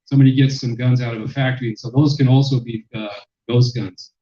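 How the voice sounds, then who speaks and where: A man giving a public lecture as a sociologist, with a loud voice and many fillers and restarts. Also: mid-range voice, fairly even intonation, fast. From Mexican-American. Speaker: sociologist presenter. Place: lecture room. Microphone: handheld microphone, then none. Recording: echoey hall, dull sound.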